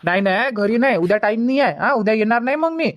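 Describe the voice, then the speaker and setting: A woman acts out a doting village dad in a cartoon comedy. The voice is high for the part and very quick, briskly coaxing in Vidarbha Marathi. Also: expressive, lightly acted. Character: brisk, doting village father of small children.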